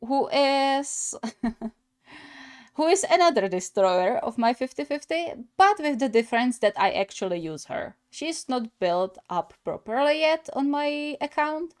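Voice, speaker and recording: A woman hosting a gaming reaction video, commenting casually in an expressive, halting, giggly way. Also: on the high side. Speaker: reaction video host, gamer.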